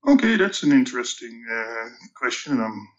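A man in his sixties from the Netherlands with a Dutch accent, a podcast guest recounting his career in a measured, hesitant way with many ums and restarts. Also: medium pitch, big pitch swings, muffled recording. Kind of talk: reflective career storytelling.

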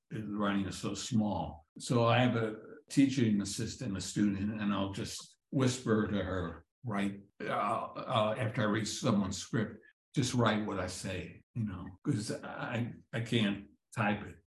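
Halting, dry-humored man in an echoey room. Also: lowish voice. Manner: dry self-deprecating humor, halting restarts. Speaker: comedy writer and professor. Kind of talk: casual interview answers. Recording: roomy echo.

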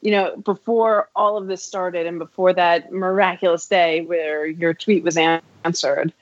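A woman's voice: lowish voice, steady tone, a little slow, no room sound. A woman hosting an interview, chatting with enthusiasm. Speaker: podcast host. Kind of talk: casual interview chat. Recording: dry, muffled lo-fi audio.

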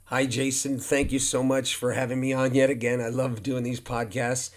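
A hoarse man, an indie film producer, talking frankly as a podcast guest, rambling and repeating himself. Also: mid-range voice, little pitch movement, normal speed. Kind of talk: casual podcast guest talk. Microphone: speaking near a humidifier.

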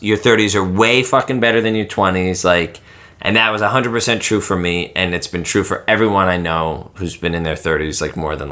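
A man's voice: on the low side, sharp tone. Ranting, swearing man in his late thirties. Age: 30s. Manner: ranting, swears freely, heavy use of "like". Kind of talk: casual chat.

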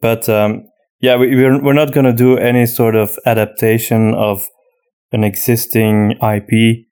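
Guy doing casual podcast chat, mid pitched voice, answering listener questions. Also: little pitch movement, measured pace, a little mellow, clean tone. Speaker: podcast host and audio drama writer.

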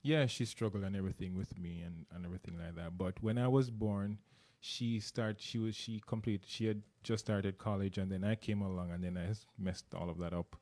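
A man's voice: deep voice, fairly even intonation, fairly quick, darkish tone. A middle-aged man from Jamaica with a Jamaican accent, talking earnestly as an interview guest and often repeating words as he searches for them. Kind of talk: casual interview.

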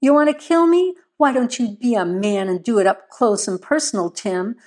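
A woman voicing a furious, defiant wife in an audiobook, sharp and challenging as she fights back. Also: mid-range voice, moderate pace, close to an everyday voice.

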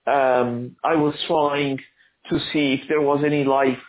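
A man who grew up in Argentina, an author answering interview questions in a halting, thoughtful way with many restarts. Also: mid-range voice, flat delivery, narrow, tinny audio.

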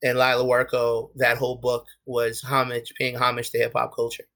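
A man's voice: mid-range voice, monotone, moderate pace, pushed and bright. A young man with a New York accent, talking candidly as an interview guest, with many restarts and fillers. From USA. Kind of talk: casual interview talk.

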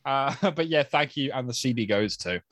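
A man's voice: medium pitch, lively intonation, moderate pace, fairly bright tone, slight background noise. A man, hosting a podcast in easygoing chat and reading out listener mail. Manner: easygoing, chatty, with fillers and laughs. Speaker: podcast host.

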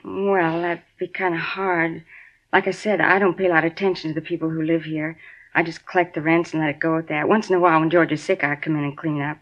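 A woman's voice: low voice, flat delivery, fast. An actress playing a chatty, flustered middle-aged woman who manages an apartment building. She rambles, sounds defensive and repeats herself, in a 1950s radio drama. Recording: dull lo-fi 1950s radio broadcast.

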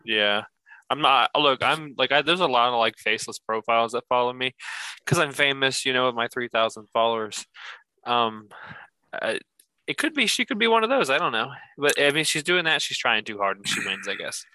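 Twenties male podcast co-host, bright forward tone, chatty and self-deprecating, dry close sound. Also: mid-range voice, animated, fairly quick, dry recording, low-quality recording. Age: 20s. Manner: rambling, self-mocking, lots of restarts. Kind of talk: casual chat.

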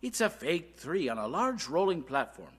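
A husky, very animated voice, a performer's, playing a scrappy young survivor in a zombie audio drama. The delivery is wry and blunt, leaping in pitch. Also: moderate pace. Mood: sardonic. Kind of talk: amateur audio drama acting.

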